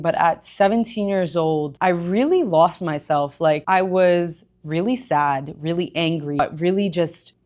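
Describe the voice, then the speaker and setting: A young woman from Egypt tells her own story in a candid, chatty way. Her voice sits low. She drops emphatic little asides as she goes. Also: darkish tone. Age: young adult. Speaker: gym owner and personal trainer. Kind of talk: personal storytelling vlog.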